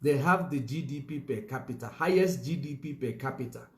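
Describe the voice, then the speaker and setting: A man's voice: medium pitch, a little fast, echoey room. A man giving a political commentary monologue, emphatic, repeating his points and filling gaps with "you know".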